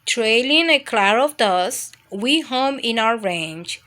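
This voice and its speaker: A woman's voice: a little high, lively intonation, slow, pushed and bright, heavily acted. A woman voicing a mischievous, adventure-hungry dog who tells its own storybook escapade. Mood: cheeky, excited. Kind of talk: storybook first-person narration.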